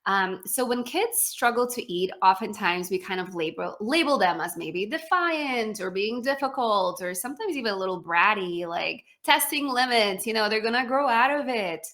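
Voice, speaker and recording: A woman's voice: medium pitch, lively intonation, moderate pace, hardly any pauses, a bit bright. A woman from Poland in her thirties, a feeding specialist chatting as a guest with frequent fillers and restarts. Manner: chatty, many fillers and restarts, laughs easily. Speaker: guest, pediatric speech-language pathologist. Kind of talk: casual interview answers.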